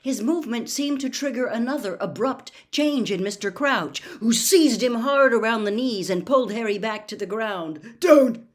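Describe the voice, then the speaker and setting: A woman's voice: a little high, moderate pace, hardly any pauses. Woman reading a storybook aloud. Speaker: audiobook reader. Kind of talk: scripted storybook narration.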